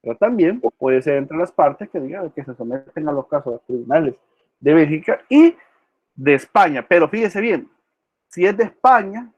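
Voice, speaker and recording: A man's voice: sits high, a little mellow, no room sound. A male law professor giving an online class, quizzing students by name and repeating key words. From El Salvador.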